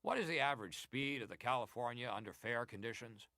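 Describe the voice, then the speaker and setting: An actor playing a senator who questions a witness formally and deliberately in a dramatized historical hearing. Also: male voice, mid-range voice, animated, pushed and bright, no room sound. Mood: formal, probing. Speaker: actor reading hearing testimony.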